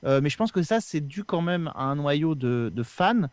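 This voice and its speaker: Higher-pitched man explaining in casual discussion. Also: animated, normal speed, no echo. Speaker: podcast regular.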